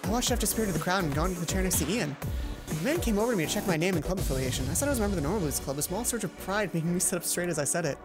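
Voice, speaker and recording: A woman reading a visual novel aloud as a let's play host, expressive and given to puzzled asides. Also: on the low side, racing, music in the background. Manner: expressive storytelling, self-questioning asides. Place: indoor gaming commentary recording with loud game music behind.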